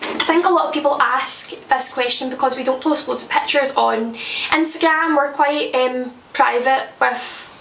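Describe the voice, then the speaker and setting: A young Scottish woman in her twenties talks casually to camera from her home, chatty and quick to laugh at her own slip-ups. Her voice sits high. The room sounds roomy, and she is set back from the mic. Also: gravelly, fairly bright tone, distant mic, slight background noise. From Scotland. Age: 20s. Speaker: makeup vlogger. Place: at home, indoors.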